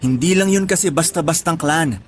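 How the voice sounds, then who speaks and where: A man's voice: a little high, normal speed, natural voice. A man with a Filipino accent acting a practical, teasing friend of twenty-seven in colloquial radio drama dialogue. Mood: casual, informative. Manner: colloquial, bantering. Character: 27-year-old male friend, teasing, practical, slightly older.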